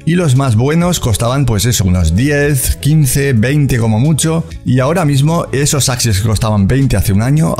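A man's voice: medium pitch, dark tone. Man explaining crypto game prices. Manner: explanatory, walks through prices and calculations step by step. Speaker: crypto gaming channel host. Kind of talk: screen-recorded walkthrough commentary.

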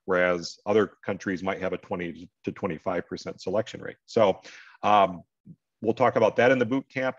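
Middle-aged American man, deep low voice, dry dull video-call sound, conversational advisor explaining to an audience. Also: dry recording. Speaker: scholarship advisor hosting an online panel. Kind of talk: informal advising talk to an online audience. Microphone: video call microphone.

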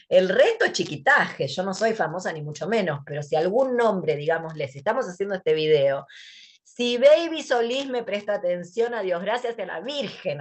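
An Argentine woman speaking casually as a guest, blunt, sarcastic and emphatic, over a headset on a video call from a dim room. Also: medium pitch. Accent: Argentine Spanish. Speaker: guest, writer and thinker.

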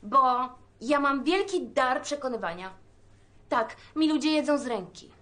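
A woman's voice: high voice, animated, a little slow, fairly bright tone. An actress playing a vain, foul-mouthed older woman who talks big and boasts. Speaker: film character, a broke woman selling shoes. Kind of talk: film acting.

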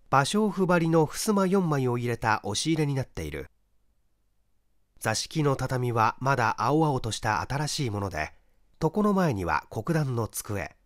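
A man reading a mystery story aloud as dramatic narration, measured and expressive with deliberate pauses. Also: mid-range voice, no room sound, clean studio sound. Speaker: story reader, stage actor.

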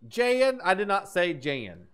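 Animated man joking through a horror game. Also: sits high, slow, smooth. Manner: crude, playful, exaggerated delivery. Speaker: streamer playing a horror game. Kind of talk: joking gameplay commentary.

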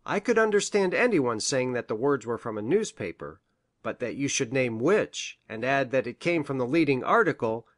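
A woman's voice: extremely low pitch, animated, moderate pace, dry recording, clean studio sound, voice pushed down. A woman voicing an earnest male doctor in an audiobook, formal and deferential in manner. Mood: amazed. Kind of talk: acted audiobook dialogue.

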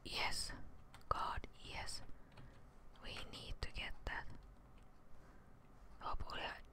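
A young woman gives video game commentary in a breathy ASMR whisper. She speaks slowly and hushed, in short murmured reactions, close to a studio microphone. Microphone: close studio microphone with headset on.

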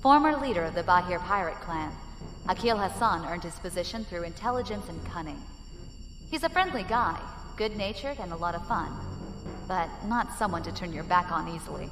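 A woman's voice: fairly low pitch, expressive, a little fast, a bit bright. A woman playing a commanding, wary, impatient space pirate leader in an audio drama. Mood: measured, wary. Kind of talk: audio drama acting and narration. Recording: long reverb, music behind.

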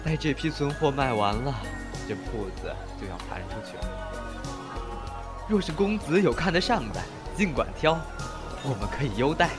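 An actor playing an obliging, chatty toy shopkeeper with friendly sales patter in a Chinese period audio drama. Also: male voice, a little high, big pitch swings, normal speed, music in the background. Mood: regretful.

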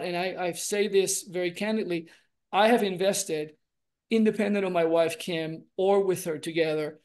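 A man in his forties from Puerto Rico, speaking earnestly on a video call as an interview guest and telling his story with many gestures and restarts. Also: high voice. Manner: earnest, expressive, gestures a lot, restarts phrases. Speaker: guest, relationship coach and doctor. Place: home room with framed pictures, indoors.

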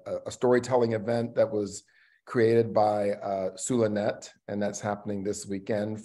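A middle-aged man speaking casually in a panel conversation, halting and reflective, trailing off between phrases. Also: fairly low pitch, warm tone. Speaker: actor and theatre artistic director. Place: indoor room at home, video call. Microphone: video call microphone.

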